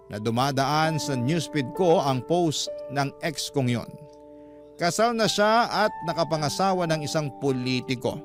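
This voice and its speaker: A man's voice: fairly high pitch, lightly acted. A man voicing a humble married working man who recounts his life in earnest, reflective radio drama narration. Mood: reflective, accepting. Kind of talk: first-person Tagalog radio drama narration. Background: guitar music.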